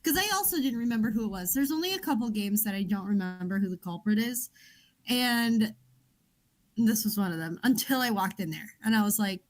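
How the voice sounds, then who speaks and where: A woman's voice: mid-range voice, brisk, rough recording. A woman with a Chicago American accent chatting casually as a podcast co-host. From Chicago area, United States. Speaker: book club podcast co-host. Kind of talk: casual chat.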